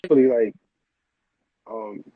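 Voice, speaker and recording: A man's voice: mid-range voice, steady tone, takes it slowly, husky, phone-quality sound. An American man chatting casually as an interview guest, with slangy phrasing and a habit of repeating himself. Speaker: music producer, interview guest.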